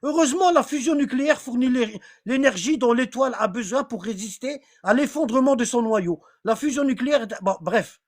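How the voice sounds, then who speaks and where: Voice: mid-range voice, lively intonation, a little fast, few pauses. A religious teacher running an informal online class, often repeating phrases and asking listeners if they follow. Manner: repetitive, rambling, checks for understanding; reads aloud from text, then comments.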